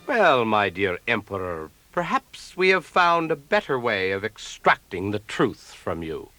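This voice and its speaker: An actor playing a cruel, gloating villain baron in a 1970s space-opera audio drama, speaking with mock politeness and menace. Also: male voice, lowish voice, big pitch swings, unhurried, low-quality recording. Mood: silky menace. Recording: music behind the voice.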